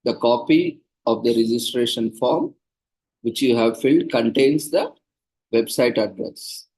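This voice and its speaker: A man's voice: mid-range voice, measured pace, a little mellow, breathy edge, low-quality recording. A man organizing a seminar, patiently explaining procedures to participants over a video call. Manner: patient, instructive, plain and practical.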